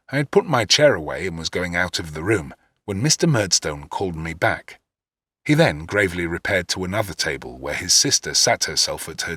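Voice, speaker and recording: A man reads literary first-person narration. His voice is low but bright, with a slightly rough, husky edge, and it moves expressively through each long sentence. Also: no echo. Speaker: audiobook narrator.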